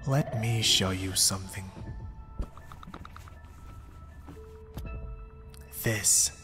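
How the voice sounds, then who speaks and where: A man's voice: on the low side, a bit bright. A man playing a mysterious young zealot, polite, pompous and persuasive, in an ASMR roleplay drama. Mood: coaxing. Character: mysterious, smooth-talking young male zealot cult recruiter. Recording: long reverb, background music. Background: music.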